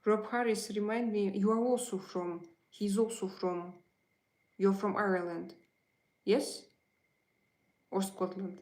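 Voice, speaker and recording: A woman's voice: medium pitch, steady tone, slow, long pauses, a little mellow, reverberant. A woman with a Russian accent and Russian as her first language, hosting a casual livestream, chatting with viewers and answering their messages with many hesitant fillers. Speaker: livestream host.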